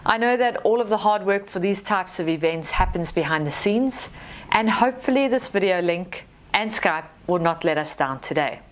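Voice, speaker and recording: A woman in her thirties with a Zimbabwean accent gives a prepared conference presentation in a formal, measured manner. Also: fairly low pitch, lively intonation. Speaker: army lessons manager giving a presentation. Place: small indoor studio with dark backdrop. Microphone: lapel mic.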